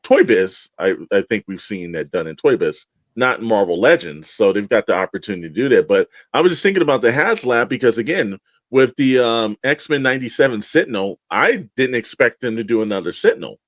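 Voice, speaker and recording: Man, fairly low voice, dry close sound, casual podcast co-host, conversational, long run-on explanations. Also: expressive, brisk, dry recording. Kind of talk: casual toy-collector chat.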